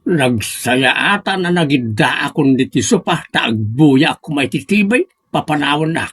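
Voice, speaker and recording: Husky, grumpy Ilocano father played by a man. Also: on the high side, animated, moderate pace, fairly bright tone. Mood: cranky. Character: stubborn, grumpy, bossy old Ilocano family father. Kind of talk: comedic audio drama acting.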